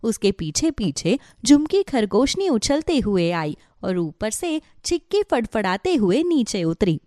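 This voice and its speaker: A woman's voice: a little high, big pitch swings, unhurried, darkish tone, no echo, clean studio sound. A woman narrating a scripted children's animal story.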